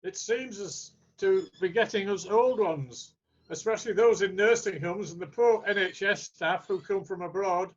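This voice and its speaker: A man's voice: high-pitched, normal speed, muffled recording. A man playing a wry, jokey older friend in an amateur comedy sketch. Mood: agreeing.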